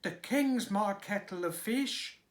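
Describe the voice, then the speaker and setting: A man voicing an indignant young dairymaid who wails and complains in a shrill voice. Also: animated, takes it slowly. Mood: indignant, wailing.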